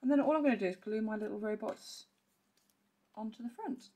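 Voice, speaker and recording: A woman with a croaky voice, chatting through a step-by-step card-making tutorial and clearing her throat now and then. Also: a little high, brisk, mellow. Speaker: papercraft demonstrator. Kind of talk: casual step-by-step craft tutorial.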